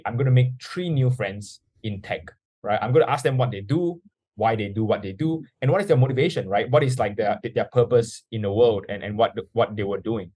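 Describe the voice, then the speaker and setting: A man's voice: fairly low pitch, darkish tone, dry recording. A young man from Singapore, telling his story as a podcast guest in an earnest way full of "you know" fillers. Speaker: guest, startup founder.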